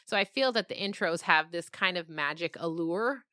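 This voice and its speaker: A middle-aged woman talking casually and reflectively as a podcast interview guest, telling stories in an animated way. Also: fairly low pitch, normal speed, smooth, no echo, clean studio sound.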